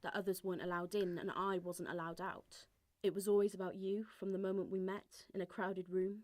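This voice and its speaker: Flat-toned woman reciting poetry. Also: medium pitch, brisk. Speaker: poetry reader. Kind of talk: spoken-word poetry recital.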